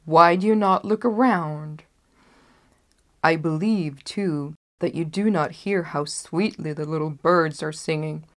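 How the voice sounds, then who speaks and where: A wicked storybook wolf, acted out by a woman reader, greedy and sly. He speaks in a low, emphatic voice and coaxes with false friendliness. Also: unhurried. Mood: coaxing.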